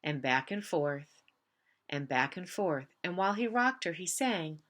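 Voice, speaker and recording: A woman's voice: on the low side, expressive, unhurried, fairly bright tone. A woman reading a picture book aloud to young pupils as a member of school staff, lingering on repeated phrases. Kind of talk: children's storybook read-aloud.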